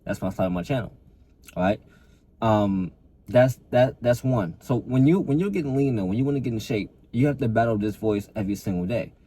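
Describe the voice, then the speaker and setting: A man's voice: on the low side, lively intonation, brisk, warm tone, breathy edge, rough recording. A young adult man talking casually to camera as a fitness coach, earnest and motivating, often repeating and restarting words.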